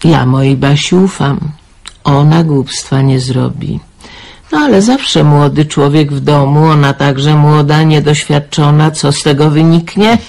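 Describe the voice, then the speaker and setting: A man's voice: on the high side, unhurried, gravelly, darkish tone. A man voicing a worried elderly mother in an amateur radio play, sighing and fretful.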